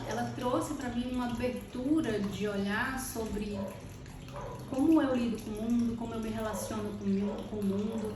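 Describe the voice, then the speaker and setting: A Brazilian woman speaking reflectively and conversationally as an interview guest, searching for words as she goes. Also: medium pitch. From Brazil, born in Salvador, lived in Teresina. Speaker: podcast guest, architect. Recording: very echoey, distant, noisy with running water. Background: water fountain trickling.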